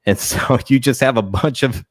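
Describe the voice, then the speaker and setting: A man's voice: mid-range voice, moderate pace, no room sound. A man joining a fantasy football draft as a guest, speaking casually in a hesitant, stammering but easygoing way. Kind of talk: casual chat.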